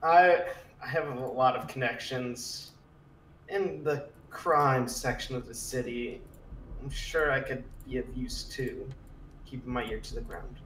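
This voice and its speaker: Man playing a low-key informant, echoey room. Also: steady tone, unhurried, fairly bright tone, a little hiss or hum, close to an everyday voice. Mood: offering. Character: streetwise, low-key male informant, a loner. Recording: roomy echo, slightly distant.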